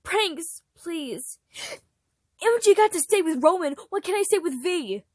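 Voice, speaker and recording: Woman voicing a distraught, stubborn, high-pitched boy. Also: expressive, measured pace, no echo, clean studio sound. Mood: tearful panic. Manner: pleading, yelling.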